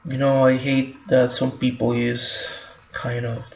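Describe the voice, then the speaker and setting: Halting man, flat and gravelly. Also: mid-range voice, slight background noise. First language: Spanish. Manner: halting, many fillers and restarts. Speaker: vlogger. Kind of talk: personal reflective monologue. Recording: roomy, muffled home recording.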